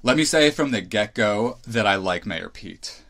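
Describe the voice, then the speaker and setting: Low gravelly man musing aloud. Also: expressive, a little slow, a bit bright. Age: 30s. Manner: musing, thinks aloud with restarts and asides. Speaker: podcast host. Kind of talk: solo opinion monologue.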